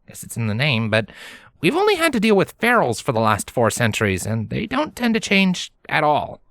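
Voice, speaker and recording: A man's voice: fairly high pitch, wildly swooping pitch, moderate pace, gravelly, fairly bright tone, dry recording, studio quality, voice pushed up, heavily acted. A man voicing a kindly, protective male pony adventurer in a fantasy story. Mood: curious, gentle.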